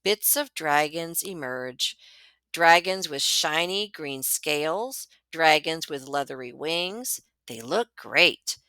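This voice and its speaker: A woman reads a picture book aloud to children at a slow, deliberate pace. Her voice is bright and swoops expressively as she leans on key words. The sound is close and dry. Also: sits low, lively intonation, clean tone, no room sound. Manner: dramatic, deliberate storytelling with emphasized words. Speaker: librarian reading aloud to students.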